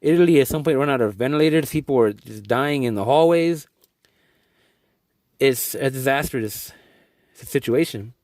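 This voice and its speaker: A man's voice: medium pitch, moderate pace, long pauses, a little mellow, no echo. A young man from Guatemala giving an earnest, rambling video update, often restarting his sentences. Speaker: vlogger.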